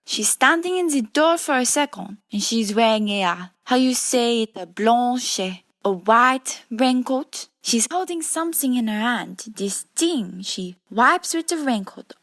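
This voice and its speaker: An actress playing a nervous young French witness with a French accent and halting broken English in a radio detective drama. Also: female voice, a little high, expressive, a bit bright. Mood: nervous, earnest. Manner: hesitant, searching for words in broken English.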